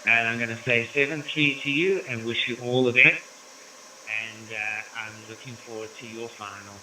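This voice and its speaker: A man from South Africa working as a ham radio operator, slowly spelling out call signs in phonetic code. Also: medium pitch, steady tone, echoey room, recorded from a distance, noisy background. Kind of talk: amateur radio contacts.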